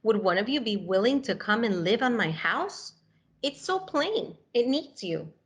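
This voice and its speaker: A woman's voice: on the high side, a little slow. A woman voicing a polite, timid storybook hermit crab who admires others and speaks expressively. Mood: admiring, polite. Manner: expressive, courteous, storybook exclaiming.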